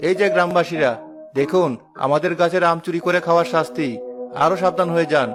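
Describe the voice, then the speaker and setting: Actor as stern village man, fairly high voice, quick pace, declamatory, children's cartoon. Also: fairly even intonation, natural voice. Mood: stern, threatening. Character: stern village man, dutiful son, guarding family tree. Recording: music behind the voice.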